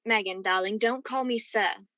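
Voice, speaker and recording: Woman playing an earnest, lovestruck young gentleman. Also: mid-range voice, moderate pace, dry recording, studio quality, close to an everyday voice. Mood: tender, ardent. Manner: tender, romantic, earnest. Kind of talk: radio play acting and narration.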